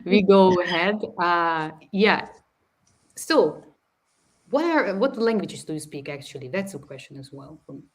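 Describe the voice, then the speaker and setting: A woman's voice: fairly low pitch, expressive, unhurried. A woman hosting an online session who chats casually, reads viewer comments aloud and laughs often. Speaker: host.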